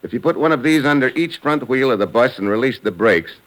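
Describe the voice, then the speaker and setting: An actor playing a cool, laconic, wry investigator in a 1950s radio drama, with a deadpan delivery. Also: male voice, medium pitch, a little fast, natural voice. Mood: cool, assured. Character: cool, wry tough-guy investigator hunting a culprit. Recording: noisy old radio recording.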